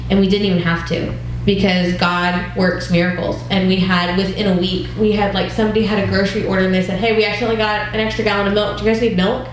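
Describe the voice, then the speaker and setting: A woman's voice: medium pitch, flat delivery, fast, few pauses. Woman, earnest conversational testimony, filler ums, very roomy church hall, light background noise. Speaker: women's group leader. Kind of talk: personal testimony talk. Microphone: wireless mic that cuts out.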